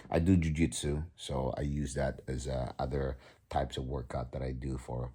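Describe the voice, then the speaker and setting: A man around fifty with a very deep voice. He has a husky edge and talks in a halting, casual way, stopping for ums and restarting his sentences as he goes. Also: flat delivery, dark tone. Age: 50s. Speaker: vlogger. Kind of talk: casual vlog talk.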